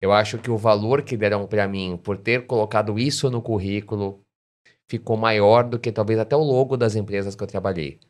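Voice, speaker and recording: Podcast interviewer: Brazilian man, lowish voice, casual and chatty, often checks for agreement. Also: fairly even intonation, a little fast. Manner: chatty, shares own experience, seeks agreement with tag questions.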